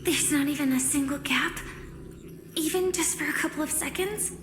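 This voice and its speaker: High-voiced, earnest young woman fighter played by an actress. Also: moderate pace, natural voice. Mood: earnest, questioning. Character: earnest, determined young female undercover fighter. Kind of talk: anime game voice acting. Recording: echoey reverberant hall, music behind. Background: game music.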